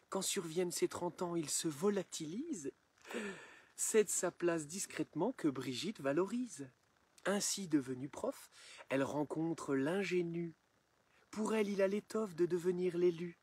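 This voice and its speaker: A man reciting satirical rhymed verse in a theatrical, measured, declamatory storytelling manner. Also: a little high, a little mellow, slightly rough. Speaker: poem reciter.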